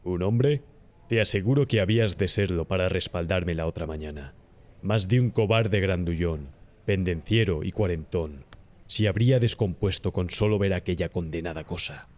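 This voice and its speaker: A man voicing a worldly young doctor, a smooth and approving accomplice with an assured, patronizing manner, in an audiobook. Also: deep voice, normal speed, a little mellow, natural voice. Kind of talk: audiobook character acting.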